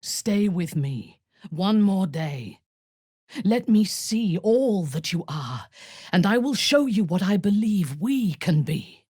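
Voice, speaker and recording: Haughty dark elf commander, slow and expressive. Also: female voice, mid-range voice, breathy edge, no echo, studio quality, heavily acted. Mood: tender, earnest. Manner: imperious, measured, menacing. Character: haughty, ruthless dark elf warrior woman, cultist commander. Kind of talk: video game character voice lines.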